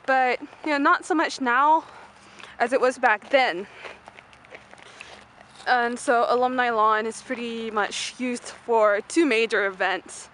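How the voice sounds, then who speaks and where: A young woman with a fairly high voice talks as a student vlogger, casual and unscripted. She rambles informally, leaning on fillers as she gives a walking tour. The recording is handheld outdoor audio with faint noise behind her. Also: fairly bright tone. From Malaysia. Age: young adult. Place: mostly outdoors on a university campus.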